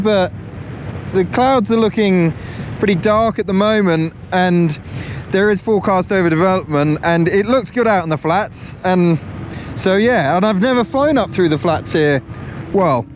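Man, fairly high-pitched voice, casual vlog commentary, matter-of-fact, outdoor noise. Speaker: paragliding vlogger. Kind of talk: casual first-person flight commentary. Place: outdoors, in flight and in an open field.

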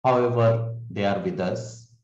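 Man, real slow formal welcome, echoey room on a call. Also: lowish voice, a little mellow. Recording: roomy, dull lo-fi call audio.

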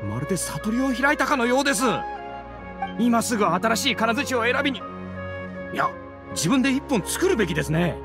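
A man's voice: very high voice, expressive, fairly quick, long pauses, music in the background. A man playing an easily inspired craftsman in an anime game, speaking politely and eagerly. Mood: enlightened, eager. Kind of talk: Japanese anime game voice acting.

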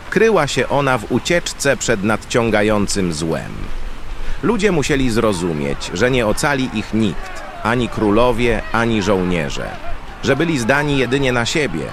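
Low-voiced male storyteller over noisy ambience. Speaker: narrator. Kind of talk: scripted dramatic fantasy narration. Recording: clear background ambience noise.

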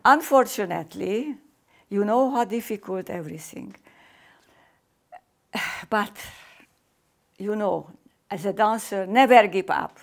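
An older woman with a Hungarian accent delivers a formal welcome address in careful, halting English. She speaks very slowly and deliberately at a middle pitch, recorded indoors facing the camera. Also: gravelly. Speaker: competition artistic director. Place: indoor room with painting behind.

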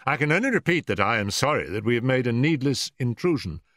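An urbane, unflappable gentleman detective performed by a male narrator, wry and polite. The pitch sits above a natural male voice and moves expressively, as in a classic storybook reading. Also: sing-song, fast talker, no echo, clean studio sound. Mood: polite, firm.

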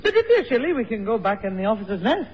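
A woman's voice: fairly high pitch, sing-song, fast, a little mellow, breathy edge. A woman playing a gossipy, scolding mother in a 1960s radio sitcom. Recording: muffled old radio recording, faint audience noise.

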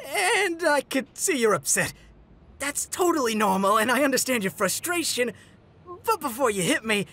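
Bright, breezy young trickster played by an actor. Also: mid-range voice, exaggerated voice. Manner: breezy, glib, conversational. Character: casual, teasing, unruffled young trickster. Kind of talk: comic dub voice acting. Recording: music behind.

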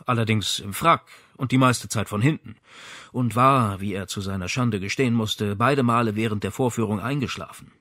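A man narrates a crime audiobook in a mid-pitched voice. The recording is dry, with next to no room sound, and the delivery is scripted storytelling. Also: fairly bright tone, dry recording.

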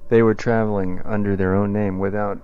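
A man's voice: lowish voice, little pitch movement, brisk, clear, resonant tone. A man working as a radio DJ, conducting a casual on-air interview. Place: radio station studio. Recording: dull, noisy 1982 AM radio aircheck.

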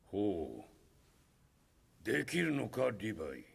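An actor playing an older, stern male supreme commander who speaks slowly and weightily. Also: on the low side, wildly swooping pitch, heavily acted. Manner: slow, weighty, gravelly grunts.

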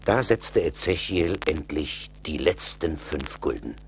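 A man's voice: extremely low pitch, sing-song, slow pace, gravelly. A man giving scripted fairy-tale narration in a German radio play. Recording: slightly noisy 1980s vinyl record.

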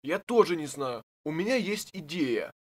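An actor voicing an easygoing, absent-minded daddy pig in a children's cartoon, musing and hesitant in an expressive way. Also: mid-range voice, moderate pace, breathy edge.

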